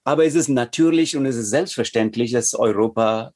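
A man's voice: medium pitch, a little fast, darkish tone. Middle-aged man with an Indian accent and Tamil as his first language, a yoga teacher who answers thoughtfully and hesitantly. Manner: thoughtful, hesitant with many fillers. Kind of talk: interview answers.

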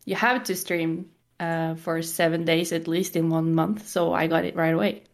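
A woman's voice: low-pitched, fairly even intonation, moderate pace. A woman in her twenties with a Norwegian accent, chatting casually as a podcast guest. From Norway. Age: 20s. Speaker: podcast guest, game streamer. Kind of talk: casual chat.

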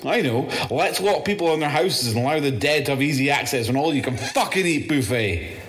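A man with a Scottish accent playing a weary, rattled zombie-apocalypse survivor in an audio drama, speaking haltingly and swearing as he records his testimony. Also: fairly high pitch, lively intonation, a little hiss or hum, close to an everyday voice. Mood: weary, bitter.

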